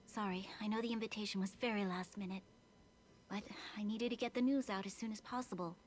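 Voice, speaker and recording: A woman voicing a dignified, earnest young female leader in a video game, speaking in a formal, measured, solemn way. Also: mid-range voice, fairly even intonation, a little hiss or hum, close to an everyday voice. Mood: composed.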